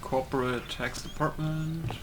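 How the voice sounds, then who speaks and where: Slow-paced man muttering over a game. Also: fairly high pitch, fairly even intonation, loud music behind the voice. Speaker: gamer playing and commenting. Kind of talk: casual let's play commentary. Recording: clearly noisy background.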